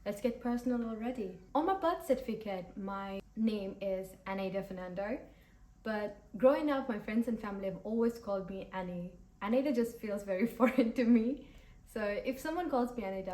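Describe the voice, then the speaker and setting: A woman's voice: mid-range voice, a little fast. Upbeat woman vlogger from Sri Lanka. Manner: upbeat, lively, addressing viewers directly. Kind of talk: scripted vlog to camera.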